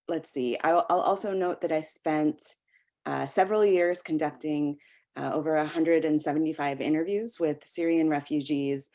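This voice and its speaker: An American woman, an academic researcher, giving an explanatory slide lecture in a halting way with frequent pauses and ums. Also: sits low, darkish tone. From United States.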